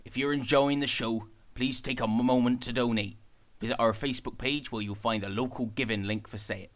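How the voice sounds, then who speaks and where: A man's voice: medium pitch, steady tone, a little fast, fairly bright tone. English male announcer; friendly, upbeat read, mid-range voice.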